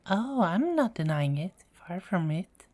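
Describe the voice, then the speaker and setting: A self-assured, playful goddess voiced by a woman for an ASMR audio drama. Her voice is slightly low and mellow, and she speaks at a leisurely pace with a teasing, soothing manner. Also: very animated. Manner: teasing, chuckling, unhurried.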